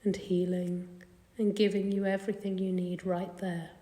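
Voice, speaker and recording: A woman leading a guided meditation in a gentle, soothing, unhurried manner. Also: medium pitch, flat delivery. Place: indoor carpeted room. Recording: echoey room with long reverb.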